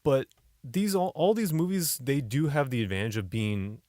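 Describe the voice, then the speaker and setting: Low-voiced man chatting on a podcast. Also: normal speed, mellow, dry recording, studio quality. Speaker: podcast co-host. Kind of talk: casual movie discussion.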